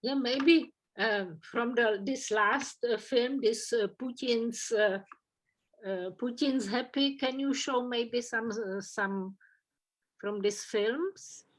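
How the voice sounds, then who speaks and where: Lady moderator at a talk, slow and halting, keeps hunting for words, a bit high. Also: rough recording. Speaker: moderator asking questions. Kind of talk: lecture Q&A.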